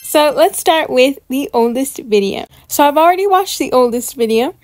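A woman hosting casual reaction commentary in a bubbly, gushing, excited manner. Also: high voice, moderate pace, dry recording. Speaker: YouTube host reviewing channels.

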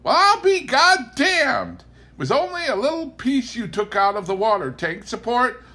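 A man voicing a loud, coarse, exasperated farm wife who scolds in rustic speech in a storybook reading. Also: very high-pitched, very animated, moderate pace, pitched above the performer's own voice, exaggerated voice.

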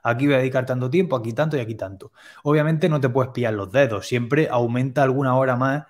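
A young man calmly explaining pricing step by step on a video call, headset mic in a home room. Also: mid-range voice. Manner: plain, step-by-step explanations with quick asides. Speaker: web development teacher, guest expert.

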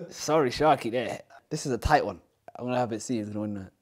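A man in his twenties, a native Somali speaker, chatting and bantering through a guessing game with excitable energy. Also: medium pitch, fairly quick, slightly rough. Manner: animated, bursts into loud excited laughter. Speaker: guest player. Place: indoor lounge room.

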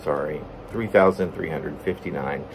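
A middle-aged man giving an informal reading of his own poem, halting and breaking into his own lines with asides. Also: extremely low pitch, poor audio. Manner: halting, self-interrupting delivery. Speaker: poet reading own work. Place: plain room at home, indoors. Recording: steady room hum, air conditioning.

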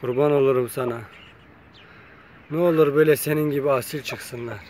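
A man's voice: medium pitch, fairly even intonation, normal speed, darkish tone, a little hiss or hum. A man talking casually to his viewers while filming his chickens in an outdoor yard on a phone camera, chatty and coaxing. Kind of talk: casual vlog talk to viewers.

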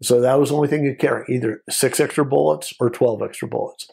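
An American man in his sixties with a husky, gravelly voice talks as a guest in an interview. He tells his stories in a casual, anecdotal way, often in short clipped phrases, at a middling pitch. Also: fast talker, darkish tone. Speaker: guest, former Border Patrol agent.

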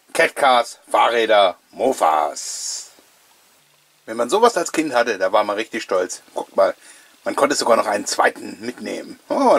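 Husky middle-aged man, casual commentary. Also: medium pitch, no room sound. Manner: chatty, jokey, reminiscing. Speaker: host of a collectors' video series. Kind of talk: casual nostalgic catalogue commentary.

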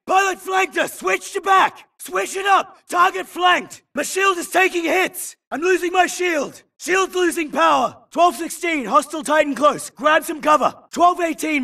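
A man's voice: very high voice, vocal fry, bright, forceful tone, far from the mic, heavily acted. A man playing an urgent, tough, disciplined combat soldier in a video game, barking clipped military battle calls. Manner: clipped, urgent radio-call phrasing. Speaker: game voice actor.

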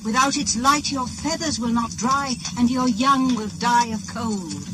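Actor doing a slow chanting spellcaster, heavy hiss on the old tape. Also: medium pitch, lightly acted. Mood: pleading. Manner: chanting, incantatory riddles and curses. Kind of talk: 1980s BBC radio play acting. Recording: noisy old radio play recording.

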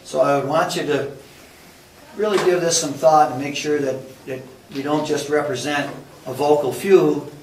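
An older man from central New York giving a plain, unhurried public comment at a town hearing. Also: a little high, steady tone. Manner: plain, unhurried, conversational with pauses. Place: community center hall, indoors. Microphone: podium mic from a distance. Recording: echoey hall, distant mic, faint noise.

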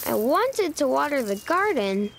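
High voiced lady doing storytime narration, takes it slow, really expressive. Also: gravelly, a little hiss or hum. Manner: deliberate, animated storytelling with stretched key words. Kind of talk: expressive children's read-aloud narration.

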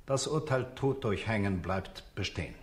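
A man's voice: on the low side, animated, moderate pace, very noisy, natural voice. An actor playing a stern male judge in a radio drama, formal and authoritative. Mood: stern, official. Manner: authoritative, official.

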